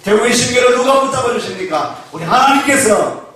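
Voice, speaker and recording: High-voiced preaching man in echoey church. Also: animated, pushed and bright. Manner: call-and-response preaching, asking the congregation questions; slows to stress key phrases. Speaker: pastor. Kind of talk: sermon. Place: church sanctuary. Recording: echoey church hall, mic far off.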